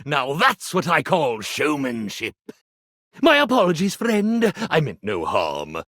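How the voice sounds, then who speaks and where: Wildly theatrical boastful stage magician. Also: male voice, a little high, huge pitch swings, slow pace, a bit bright, dry recording, clean studio sound, full character voice. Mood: effusive praise. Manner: theatrical, pompous, grandiose. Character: boastful showman stage magician, grandiose and flattering. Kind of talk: video game character acting.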